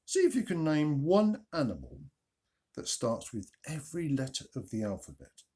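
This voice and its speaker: Man, children's storyteller, husky voice, animated pitch, expressive emphasis, dramatic pauses. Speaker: storyteller, reader. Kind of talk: children's Bible story narration with questions to listeners.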